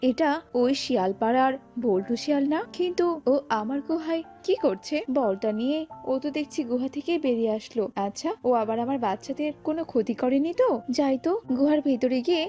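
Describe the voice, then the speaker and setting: A woman's voice: sits high, animated, fairly quick, dark tone, muffled recording. A woman voicing a grieving, vengeful mother snake in a cartoon, lamenting dramatically. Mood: suspicious, worried. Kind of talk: cartoon dub acting. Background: music.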